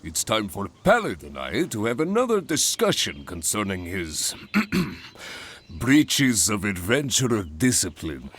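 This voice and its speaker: A stern guild leader. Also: male voice, lowish voice, wildly swooping pitch, slow, fairly bright tone, breathy edge, full character voice. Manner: stern, lecturing.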